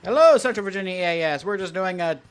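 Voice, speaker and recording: Hesitant, higher-voiced man on a livestream. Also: animated, fast. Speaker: live streamer co-hosting. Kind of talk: casual chat. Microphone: close XLR microphone on a stand. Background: music and keyboard typing.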